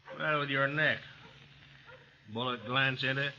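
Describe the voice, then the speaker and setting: A man's voice: medium pitch, fairly even intonation, normal speed, vocal fry, bright, forceful tone. A man playing a cocky, coolly menacing gunslinger villain in an old-time radio western. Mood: taunting menace. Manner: taunting, cool, menacing. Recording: muffled, noisy 1950s radio recording.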